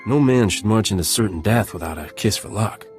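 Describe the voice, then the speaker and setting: Low-voiced, cocky smuggler captain man acting. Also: animated, fairly quick, soft background music, close to an everyday voice. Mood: wry, cocky. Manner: wry, flippant. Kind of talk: video game character acting.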